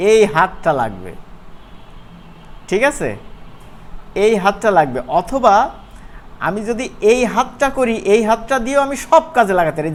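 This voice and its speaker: A man gives a casual, chatty software tutorial in an informal, colloquial way, often checking in with his listeners. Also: high voice. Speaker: animation course instructor. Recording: clear background noise.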